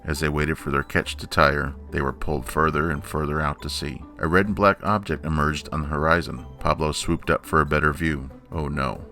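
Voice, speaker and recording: A man's voice: very deep voice, steady tone, a little fast, few pauses, sharp tone, faint music behind. A man reading a children's picture book aloud as a storyteller.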